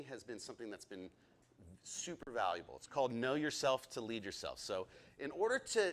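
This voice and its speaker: Casting: thirties man, group teacher, eager and talkative, average pitch. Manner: excitable, talks a lot, asks the group questions. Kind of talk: interactive leadership lesson.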